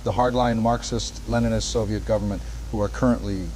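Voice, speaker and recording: A man's voice: on the low side, steady tone, fairly quick, lightly acted. A man playing a news anchor who reads a scripted bulletin on a war crisis. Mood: grave newsreading. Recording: clear background noise.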